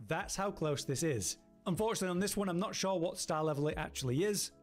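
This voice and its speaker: A man's voice: fairly high pitch, fast talker, soft background music. A man delivering scripted gameplay ranking commentary as a video narrator.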